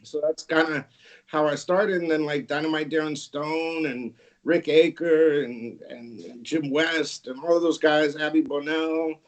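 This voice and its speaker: A man's voice: on the high side, fairly even intonation, unhurried. A middle-aged man from California, a radio DJ guest telling long, laid-back stories with laughs. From Santa Barbara, California. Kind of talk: casual interview storytelling.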